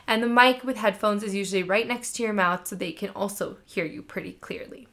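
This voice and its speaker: Expressive woman giving conversational advice. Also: mid-range voice. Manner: expressive, emphatic. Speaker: career coach presenting advice. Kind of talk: instructional advice video, conversational.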